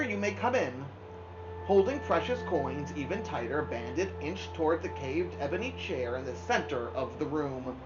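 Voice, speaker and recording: Female storybook narrator, highly expressive, pauses for drama, slightly muffled recording with clear music underneath. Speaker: storybook reader. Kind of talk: expressive read-aloud of a children's novel. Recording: dull lo-fi sound, music behind.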